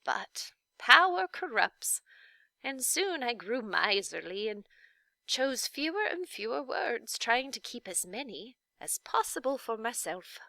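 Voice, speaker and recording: Woman playing elderly storybook witch, gentle, dignified, unhurried, lively melodic delivery. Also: on the high side, creaky, no room sound, exaggerated voice. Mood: wistful, measured. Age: older. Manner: gentle, deliberate, formal. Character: kindly, dignified old lady, a not-so-wicked official witch. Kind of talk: children's storybook read-aloud.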